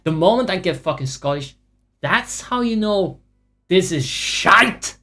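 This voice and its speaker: A man's voice: high-pitched, animated, unhurried, pushed and bright. Profane, ranting male streamer. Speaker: livestreamer playing a video game. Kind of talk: casual live gameplay commentary.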